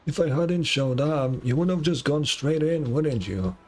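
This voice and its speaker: A man's voice: on the high side, a little slow, darkish tone. A man voicing a stern, scolding old lighthouse keeper from a video game. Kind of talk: reading game dialogue aloud.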